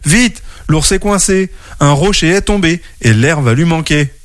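A tiny brave ant cries out in a small, high, pressing voice, as a man reads the storybook aloud. The sound is dry and close. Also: lively intonation, unhurried, no room sound. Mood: urgent. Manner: high-pitched crying for help.

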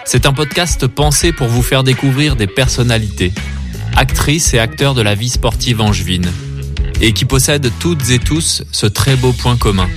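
A man's voice: mid-range voice, normal speed. Man reading a podcast intro over guitar. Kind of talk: scripted narration.

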